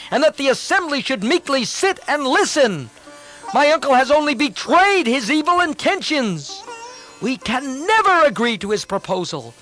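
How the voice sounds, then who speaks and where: A man's voice: very high-pitched, bright, forceful tone, voice pushed up, full character voice. A man playing a hotheaded, boastful young demon prince. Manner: indignant, boastful. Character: arrogant young male demon prince, hot-tempered.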